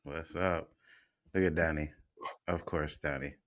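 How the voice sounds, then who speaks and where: A man's voice: extremely low pitch, steady tone, unhurried. A man co-hosting a casual livestream show, hearty and teasing, laughing often. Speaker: co-host.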